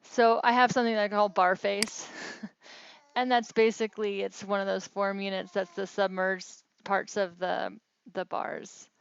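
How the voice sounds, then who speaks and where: A woman's voice: medium pitch, flat delivery, moderate pace, creaky, slight background noise. A woman casually explaining technical work as a researcher on a phone call. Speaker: researcher explaining mapping metrics. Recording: slightly dull, lo-fi phone call recording.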